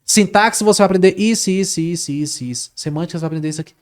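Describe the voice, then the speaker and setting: A man's voice: sits high, rapid-fire. A man talking casually as an interview guest, telling a story with lots of repetition and filler words. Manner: animated, repeats words, says "cara" often. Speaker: guest, Portuguese teacher.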